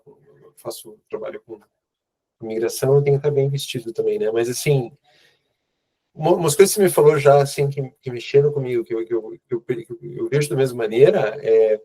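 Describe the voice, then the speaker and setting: A Brazilian man with a Brazilian Portuguese accent interviewing a guest in a relaxed chat, agreeing often and restarting his sentences. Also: mid-range voice, a little fast, husky, rough recording. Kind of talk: conversational interview.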